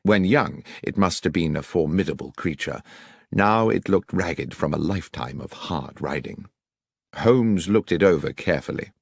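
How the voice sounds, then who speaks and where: A man's voice: deep voice, animated, normal speed, vocal fry, husky, no room sound, clean studio sound. A man reading a scripted detective story as an audiobook narrator.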